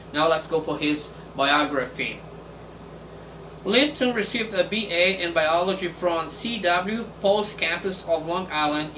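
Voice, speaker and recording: A man whose first language is Portuguese, hosting a casual video and reading text aloud in English with many pauses and fillers. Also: a little high. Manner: halting, many fillers and restarts. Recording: echoey room from far off, faint background noise.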